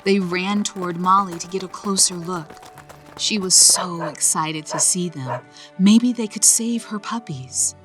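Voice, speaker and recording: A woman reading a children's story aloud in a slow, gentle storytelling manner. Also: on the low side, over music. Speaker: narrator. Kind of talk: children's read-aloud narration.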